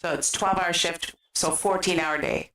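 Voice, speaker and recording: A woman's voice: on the low side, fairly even intonation, moderate pace, fairly bright tone. A woman with a western Canadian accent, chatting casually and giggly as she livestreams games. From British Columbia, Canada. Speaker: gaming streamer. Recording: roomy, distant microphone sound.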